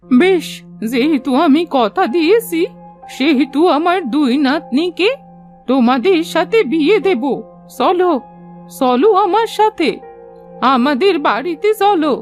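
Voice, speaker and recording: A woman's voice: high voice, expressive, measured pace. A woman voicing a blunt old fishing grandmother in a cartoon fairy tale. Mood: resigned, decided. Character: old fishing grandmother, blunt but honest to her word. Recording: music under the voice.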